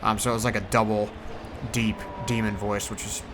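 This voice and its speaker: A man's voice: fairly low pitch, level tone, a little fast. A man hosting a gaming video, giving casual, rambling, hesitant voice-over commentary. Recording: loud racing car engine noise behind. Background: racing game car sounds.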